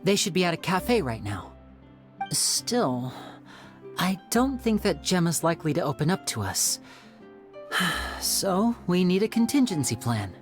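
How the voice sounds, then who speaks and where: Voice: medium pitch, sing-song, a little slow, faint music behind. An actor playing a polite, composed young male magician in a video game, courteous and reassuring as he explains things. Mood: composed, planning.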